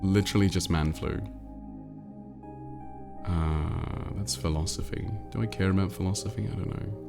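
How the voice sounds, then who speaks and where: Male livestream host, low and creaky, stuffed-up nose, rambling casual chat, faint music behind. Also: fairly even intonation, moderate pace. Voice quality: nasal, congested. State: congested with a cold. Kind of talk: casual livestream chat, reading viewer messages aloud.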